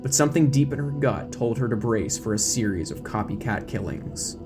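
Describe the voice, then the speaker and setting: A man's voice: mid-range voice, animated, normal speed. A man reading an audio drama as a scripted, dramatic narration, voicing all the parts himself. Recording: music under the voice. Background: music.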